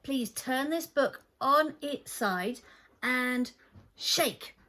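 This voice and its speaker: A woman's voice: high voice, lively intonation, very slow, pushed and bright, full character voice. A woman voicing an earnest, polite little girl in a children's storybook, speaking expressively. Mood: polite pleading.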